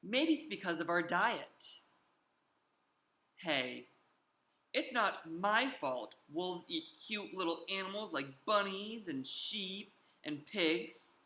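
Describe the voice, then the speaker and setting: A man's voice: high voice, animated, takes it slowly, sharp tone, reverberant, full character voice. A man voicing a sly, self-justifying wolf who tells his side of a children's storybook with emphatic, confiding delivery. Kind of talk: animated children's storybook read-aloud.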